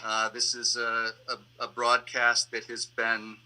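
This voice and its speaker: A man answering interview questions on a video call as a theater director, explaining things in a halting, reflective way. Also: mid-range voice, completely flat delivery, sharp tone, poor audio. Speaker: theater artistic director and actor, panel guest. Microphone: video call microphone.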